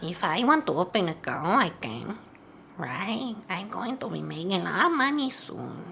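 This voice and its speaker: Woman playing a chatty foreign shoe-shiner guy, gravelly, broken English, bright and swooping, faint hiss behind. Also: fairly low pitch, sing-song, normal speed, exaggerated voice. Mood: eager. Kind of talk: tabletop roleplay in character.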